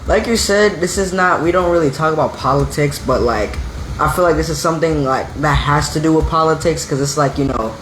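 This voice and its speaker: A young man co-hosts a casual wrestling podcast in a slightly high voice. He rambles loosely, and his lines are packed with fillers. He is recorded in an echoey room with a faint background hum. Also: a little fast, fairly bright tone. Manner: loose, rambling, full of "like" and "you know". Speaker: podcast co-host.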